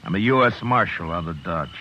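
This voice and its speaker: A deep, stern frontier marshal. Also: male voice, expressive, a little fast, pushed and bright, slight background noise. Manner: curt, gruff, commanding. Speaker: narrator and lead. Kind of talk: 1950s radio drama acting. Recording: lo-fi 1950s radio recording.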